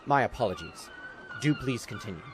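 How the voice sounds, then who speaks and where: A brusque town councilman played by a man talks with very swooping intonation. He is officious, skeptical and formal, in fantasy radio drama style. Clear outdoor noise sits behind him. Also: fairly high pitch, huge pitch swings, brisk. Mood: impatient, formal. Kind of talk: fantasy audio drama acting.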